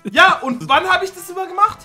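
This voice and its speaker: A man in his twenties hosting a gaming video with friends, loud, teasing and slangy, prone to outbursts. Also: very high voice, lively intonation, a little fast, loud music behind the voice. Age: 20s. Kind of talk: excited Fortnite commentary with friends. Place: small room at home.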